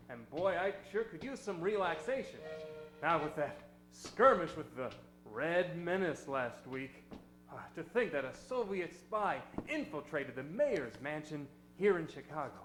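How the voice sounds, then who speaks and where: A man's voice: high-pitched, animated, a little slow. A man playing an eager, plucky young shoeshine boy in an improvised old-time radio show, with folksy exclamations. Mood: upbeat. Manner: folksy, exclamatory, gee-whiz. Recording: roomy, loud music behind.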